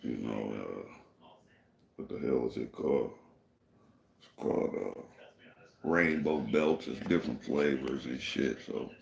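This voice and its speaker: Laid-back male livestream host, very low gravelly voice, echoey room, rambling delivery. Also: a little slow, long pauses, a little mellow. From Michigan, USA. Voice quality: deep gravelly voice, people say it suits radio. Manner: laid-back and rambling, many "you know" fillers. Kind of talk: casual livestream chat answering viewers.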